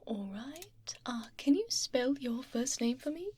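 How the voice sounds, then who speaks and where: A woman voicing a calm, courteous female doctor in an ASMR roleplay, soft and half-whispered, reassuring and unhurried, close to the microphone. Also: sits high, slight background noise. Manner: unhurried, reassuring, murmuring little acknowledgements. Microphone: close binaural ear microphone.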